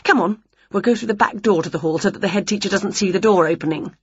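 A woman voicing an excitable, scatterbrained witch in a theatrical, exclamatory way for a children's storybook. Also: medium pitch, fast talker, dull audio, slight background noise, close to an everyday voice. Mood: eager scheming. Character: excitable, scatterbrained female witch, dramatic and cheery.

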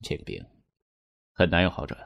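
Deep, solemn fortune teller. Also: male voice, big pitch swings, vocal fry, no room sound, dull audio, exaggerated voice. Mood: grave, foreboding. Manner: measured, solemn, unyielding. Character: eerie, matter-of-fact male fortune teller who divines from written characters. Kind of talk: Chinese audio drama acting.

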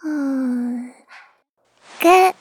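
A woman voicing a small excitable toddler in an audio drama, speaking slow, halting, babyish phrases. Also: no echo. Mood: reluctant, cute. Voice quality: babyish, squeaky. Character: small excitable toddler who adores daddy. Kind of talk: Chinese audio drama acting.

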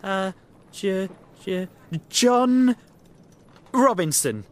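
A man voicing a weak, nervous, excitable little man in an acted storybook, sounding timid and agitated. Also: sits high, slow, voice pushed up. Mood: hesitant.

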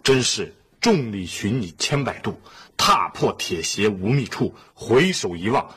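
A man playing a sardonic, excitable middle-aged husband and father in a family sitcom. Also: lowish voice, measured pace, slightly rough, full character voice. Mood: theatrical reading. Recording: faint background noise.

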